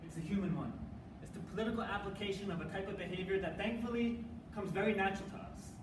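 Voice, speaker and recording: A young man performing reader's theater with an earnest, measured spoken-word delivery. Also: high-pitched. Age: young adult. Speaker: college forensics team performer. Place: stage performance space. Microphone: distant room mic. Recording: echoey, distant, noisy live room.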